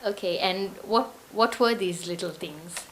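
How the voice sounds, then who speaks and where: A woman's voice: fairly low pitch, a little slow, a little hiss or hum, poor audio. A woman conducting an interview, asking questions and giving short encouraging prompts.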